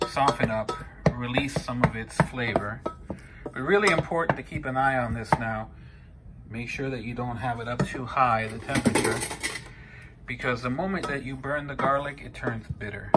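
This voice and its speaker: A man's voice: mid-range voice, fairly even intonation, moderate pace. Peruvian guy in his 50s doing a cooking vid in his kitchen, chatty, lots of uhs, noisy phone audio. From Peru. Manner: explanatory, conversational, with frequent fillers and self-corrections. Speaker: home cooking channel host. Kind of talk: step-by-step cooking demonstration. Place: home kitchen. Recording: noisy home kitchen recording.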